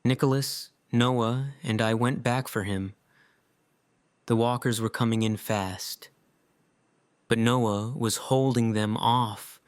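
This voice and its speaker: A man acting an earnest, guilt-ridden survivor in an audio drama, with halting, emotional delivery. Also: medium pitch, steady tone, clear, resonant tone, dry recording, close to an everyday voice. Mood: anguished, guilty.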